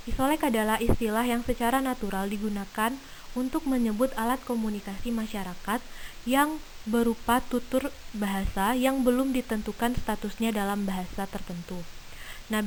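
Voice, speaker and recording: A young woman student in her twenties giving an earnest, measured lesson on language variation, with frequent hesitations. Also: fairly high pitch, a little hiss or hum, low-quality recording. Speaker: linguistics student presenter. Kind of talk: reading an explanatory lecture.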